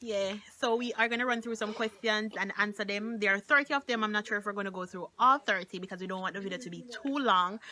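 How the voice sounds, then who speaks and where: A woman's voice: medium pitch, pushed and bright. Laughing Jamaican woman vlogger. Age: 20s. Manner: laughs often, Patois-mixed chatter. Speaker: YouTuber co-host. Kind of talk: casual chat.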